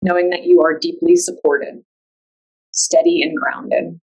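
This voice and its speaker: A woman guiding a meditation in a calm, soothing, unhurried way, leaving long pauses between instructions. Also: lowish voice, slightly rough, rough recording. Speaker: yoga nidra meditation guide. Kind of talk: guided meditation.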